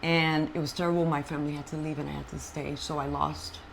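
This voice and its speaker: Colombian woman, native Spanish speaker, frank and animated guest, low-pitched voice, faint hiss. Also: vocal fry. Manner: animated, halting, many fillers and restarts, frank. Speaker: interview guest. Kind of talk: personal storytelling in conversation.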